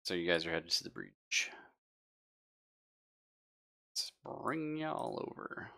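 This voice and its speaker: A man's voice: low-pitched, big pitch swings, slow pace, creaky, pushed and bright. A man running a tabletop game as game master in casual, easygoing chat. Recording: live online game stream.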